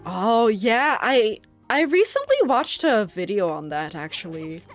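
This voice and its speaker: A woman's voice: medium pitch, big pitch swings, a little slow, soft background music. A young woman from the Netherlands, a native Dutch speaker, chatting casually and rambling with many fillers while streaming a game, often breaking into giggles. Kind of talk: casual chat while gaming.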